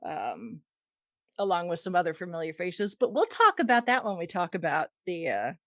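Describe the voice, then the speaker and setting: A woman's voice: on the low side, very animated, moderate pace, no room sound, studio quality. A woman hosting a podcast, reading out fandom news in a casual, wry and playful way with chuckles. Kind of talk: casual chat.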